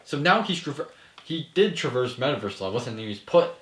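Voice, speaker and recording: A man with a mid-pitched voice talks casually, as a filmmaker laying out his ideas in an explanatory way. He often tags points with a questioning "right?", and the room around him is noticeably echoey. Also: sing-song, brisk, a bit bright. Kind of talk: casual post-table-read discussion.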